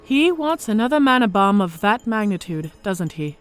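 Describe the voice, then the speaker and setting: A woman's voice: mid-range voice, very animated, moderate pace, over music, lightly acted. A woman playing a smug female orc centurion in an audio drama, speaking with mock-sweet politeness and cool menace. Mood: sweetly menacing.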